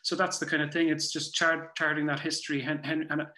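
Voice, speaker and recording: A man in his forties with a Cork Irish accent, chatting reflectively as an interview guest and often circling back to rephrase in a halting way. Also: a little high, level tone, sharp tone. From Cork, Ireland. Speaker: guest, playwright and performer. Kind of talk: casual reflective interview chat. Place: small room at home, indoors. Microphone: computer mic on a video call. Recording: roomy video-call sound.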